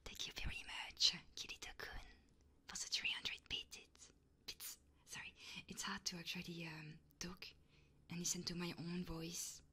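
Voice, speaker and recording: A woman live streamer chatting casually and playfully in a soft, breathy ASMR style, often whispering, close to the microphone. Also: lowish voice, level tone, a little slow. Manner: casual and playful, swearing freely.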